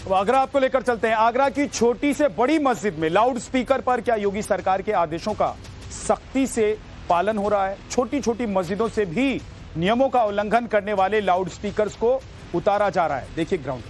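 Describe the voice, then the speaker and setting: A man's voice: sits high, normal speed. A man narrating news reports as an anchor in a dramatic, emphatic voice-over style. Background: music bed.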